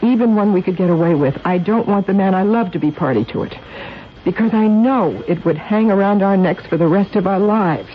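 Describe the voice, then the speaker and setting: A woman's voice: medium pitch, fairly quick, lightly acted. An actress playing an earnest, conscience-stricken woman doctor, speaking seriously and urgently in a radio drama. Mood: urgent, insistent. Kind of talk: 1970s radio drama acting. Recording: dull, hissy old radio recording.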